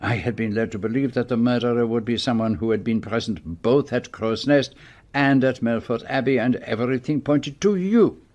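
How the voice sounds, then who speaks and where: An actor as a deliberate, shrewd male detective. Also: medium pitch, darkish tone, lo-fi sound, natural voice. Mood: probing. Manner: deliberate, theatrical, precise pauses. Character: shrewd, methodical detective explaining his solution. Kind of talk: radio mystery drama acting.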